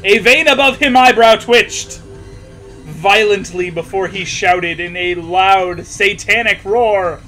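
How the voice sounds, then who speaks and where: A man's voice: sits high, takes it slowly, bright, forceful tone, soft background music, poor audio. A man hosting a mocking read-aloud, sarcastic, slipping between reading and casual banter and voicing punctuation marks. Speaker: host reading fan fiction aloud.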